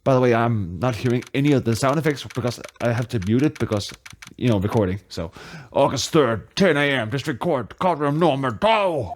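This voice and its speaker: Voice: medium pitch, animated, a little fast, slight background noise. A performer doing a casual let's play, reading the game aloud with playful, sweary asides. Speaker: game player reading all parts.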